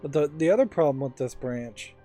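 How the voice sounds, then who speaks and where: A man giving casual, analytical gameplay commentary as a let's play host, musing in a measured way. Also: on the high side, lively intonation, warm tone. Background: game music.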